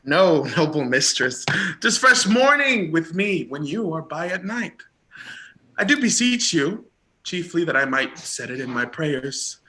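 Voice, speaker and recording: A man acts an ardent young prince in a Shakespearean romance. The voice is high for a man and very bright, with lively swings of pitch. The delivery is theatrical, courtly and effusive, full of devotion. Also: measured pace, a little hiss or hum, full character voice. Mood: adoring. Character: lovestruck young prince toiling as log-bearer, ardent, courtly. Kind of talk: Shakespearean stage acting.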